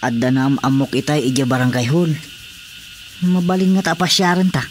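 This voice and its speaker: An actor playing an eager, hesitant young brother-in-law in an Ilocano radio comedy drama, with an Ilocano accent. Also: male voice, medium pitch, brisk, close to an everyday voice. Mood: obliging, sheepish. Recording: faint background noise with crickets.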